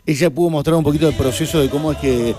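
A man's voice: on the high side, rapid-fire. A man with an Argentine accent hosting a casual show, bantering and telling stories emphatically and colloquially. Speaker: morning radio and streaming show host. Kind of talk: lively casual banter with co-hosts. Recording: music playing loudly behind. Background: music.

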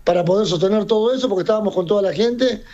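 A man's voice: high-pitched, rapid-fire, warm tone. A middle-aged man from Serbia who runs a circus, talking casually in an interview and rambling with frequent restarts and repeated words. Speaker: circus owner. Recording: dull lo-fi sound.

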